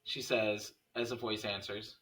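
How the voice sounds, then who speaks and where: A man's voice: lowish voice, little pitch movement, a little slow, a bit bright. A man reading stage directions as narrator at a screenplay table read, in scripted narration.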